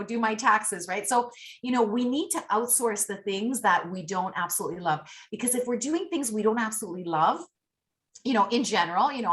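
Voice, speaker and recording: A woman's voice: medium pitch, fairly bright tone. A woman raised from early childhood in Canada after being born in Italy, Italian her first language, talking as an upbeat, effusive podcast guest over a remote call. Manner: effusive, upbeat motivational talk. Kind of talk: casual interview chat.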